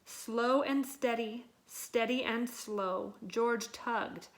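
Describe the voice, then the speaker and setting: Slow-paced woman reading a picture book aloud. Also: on the high side. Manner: dramatic, deliberate delivery with acted sound words. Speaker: storybook reader. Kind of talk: expressive read-aloud of a children's picture book.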